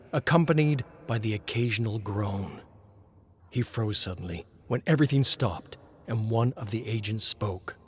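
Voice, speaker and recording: A man narrating a scripted suspense story as a narrator. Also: mid-range voice.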